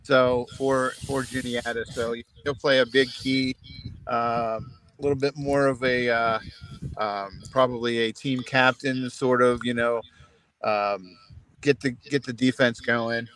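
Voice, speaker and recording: A hoarse man co-hosting a local high school sports talk show, speaking in a halting way with many pauses and restarts. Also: fairly even intonation, fairly bright tone. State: hoarse, losing his voice.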